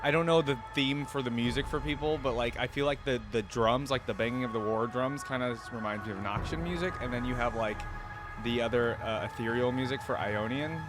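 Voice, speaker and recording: A man's voice: medium pitch, fairly quick. A man giving casual reaction commentary as host of a video, informal and thinking aloud. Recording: music playing over the voice. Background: game theme music.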